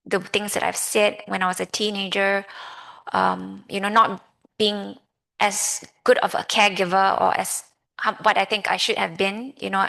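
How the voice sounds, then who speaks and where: Malaysian woman, 30s, lay Buddhist speaker; creaky mid-pitched voice, conversational and halting. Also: a bit bright. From Malaysia, grew up in Kuala Lumpur. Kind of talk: personal Dhamma talk to an audience.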